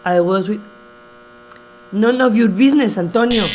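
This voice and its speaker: Actress as a sharp-tongued village woman, noisy hum. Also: mid-range voice, normal speed, lightly acted. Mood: suspicious, threatening. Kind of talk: comedy radio play, scripted. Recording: noisy, with clicks and mains hum.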